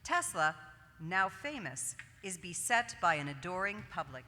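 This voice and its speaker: Woman narrator for a staged reading, scripted delivery, crisp bright tone, long room reverb. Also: lowish voice, very animated, unhurried. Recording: echoey hall with long reverb.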